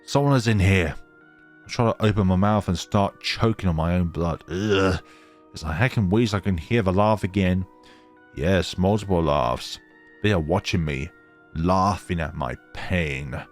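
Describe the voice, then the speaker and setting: A man reading a horror visual novel aloud in a theatrical, halting way, with casual asides. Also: deep voice, faint music behind.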